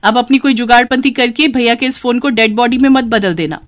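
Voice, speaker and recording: A woman's voice: fairly high pitch, fairly quick, pitched above the performer's own voice, lightly acted. A woman voicing a snooty young city sister-in-law who scolds haughtily and sprinkles in English words, in a family story. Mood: mocking.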